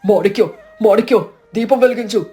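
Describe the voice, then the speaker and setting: A woman acts out a greedy, vengeful village man from a cartoon folk tale. The voice is mellow yet boastful and exclamatory, over background music. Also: fairly high pitch, fairly quick, close to an everyday voice. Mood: excited greed. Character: greedy, scheming, vengeful male village neighbour. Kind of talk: animated moral story acting.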